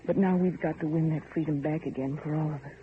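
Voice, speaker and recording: A woman's voice: deep voice, fairly even intonation, quick pace, lightly acted. An anguished, earnest heiress, played by an actress speaks through a narrow, tinny vintage radio recording. Her delivery is theatrical and full of feeling, with faint noise underneath. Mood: fervent. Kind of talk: old-time radio drama acting.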